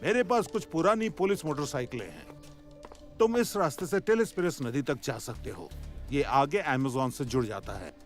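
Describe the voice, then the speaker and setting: A man voicing a middle-aged, jovial but stern police chief in a Hindi cartoon dub, expressive and authoritative. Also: a little high, moderate pace. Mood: helpful, briefing. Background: music.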